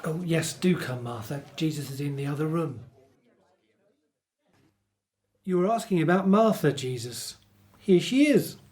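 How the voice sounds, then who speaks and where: A man's voice: on the high side, lively intonation, normal speed, darkish tone, close to an everyday voice. A man playing a kindly, well-meaning disciple in a radio play, with a friendly, reassuring manner. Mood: friendly, coaxing.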